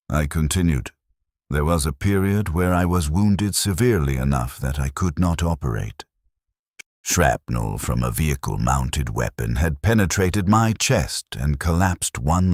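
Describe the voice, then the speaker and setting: A man narrating a scripted first-person military story in a detached, measured, clinical manner. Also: extremely low pitch, darkish tone, no room sound, studio quality.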